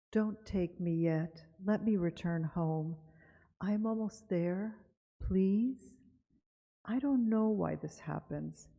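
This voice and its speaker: Woman from Cuba, low voice, gravelly, conversational author talk, synagogue chapel, long reverb, dull broadcast sound. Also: mellow. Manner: unhurried, conversational, with frequent fillers. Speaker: author reading and speaking at a synagogue event. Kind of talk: live author talk with poetry reading.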